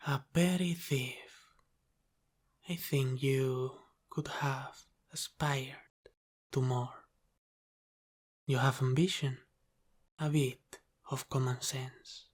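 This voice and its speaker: A man playing a teasing, menacing mafia boss with an Italian-American accent in an ASMR roleplay, speaking deliberately and sometimes dropping into a whisper. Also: medium pitch, steady tone. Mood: teasing, probing. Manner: slow, deliberate, teasing, with breathy sighs and whispered phrases.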